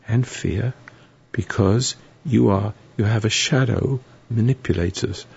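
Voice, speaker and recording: A hoarse man hosting a live call-in radio show, rambling and preachy with a sardonic edge. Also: medium pitch, darkish tone. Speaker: radio talk show host. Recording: dull lo-fi radio broadcast.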